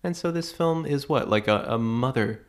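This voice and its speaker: A man's voice: medium pitch, animated, normal speed. A young man co-hosting a casual movie podcast, chatty and laughing often. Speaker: podcast co-host. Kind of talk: casual chat.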